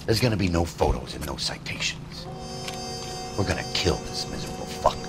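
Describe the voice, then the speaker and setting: Gruff, very deep-voiced veteran American detective. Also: male voice, animated, moderate pace, in a whisper, loud music behind the voice. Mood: grim, bitter. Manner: blunt, bitter, tough-talking. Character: gruff, world-weary, profane veteran male police detective. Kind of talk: dramatic video game acting.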